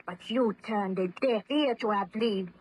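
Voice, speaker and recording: An actress playing an impassioned, reproachful young fiancee in a melodramatic, formal 1930s radio drama style. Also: female voice, medium pitch, measured pace, slightly rough. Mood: bitterly accusing. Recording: muffled old radio drama recording.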